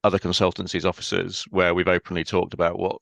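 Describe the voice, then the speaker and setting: A man speaking as a podcast guest in a casual interview, thoughtful and measured, often pausing on "um". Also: sits low, completely flat delivery, creaky, a bit bright, no echo, lo-fi sound. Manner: thoughtful, measured, with frequent ums.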